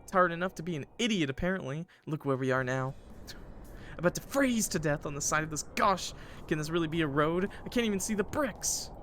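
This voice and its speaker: A man's voice: fairly high pitch, lively intonation, brisk, a bit bright, slight background noise. A man voicing a weary but hopeful boy, an elder brother in a visual novel, sheepish and self-deprecating.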